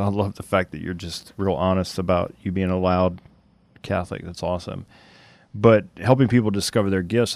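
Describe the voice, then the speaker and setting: Male radio host with a deep voice, earnest and steady, reflecting at length. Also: no echo. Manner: earnest, measured, uses "you know" and "right?". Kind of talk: reflective interview talk on faith.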